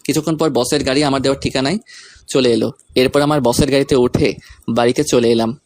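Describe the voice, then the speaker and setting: A man's voice: medium pitch, fairly even intonation, brisk, a little mellow, no echo. A man reading a scripted first-person story, narrating events and speaking the lead character's lines.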